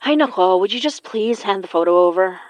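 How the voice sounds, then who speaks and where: A woman playing a steady, protective young spell caster in an audio horror drama, with casual, natural delivery. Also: mid-range voice, quick pace, no room sound, close to an everyday voice. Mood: exasperated. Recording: dry, slightly muffled studio.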